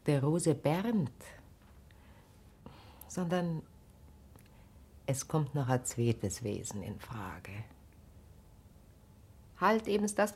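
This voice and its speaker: An actress playing a grave, perceptive, caring wife in a radio drama, speaking earnestly and probingly. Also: female voice, deep voice, warm tone, husky, full character voice. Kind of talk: naturalistic German radio drama acting.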